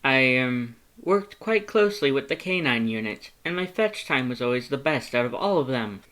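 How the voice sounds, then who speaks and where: A man's voice: mid-range voice, expressive, moderate pace, a bit bright, voice pushed up, natural voice. A man voicing a proud, earnest cartoon skeleton in a story, with a bombastic, formal and emphatic way of talking. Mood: hesitant, boastful.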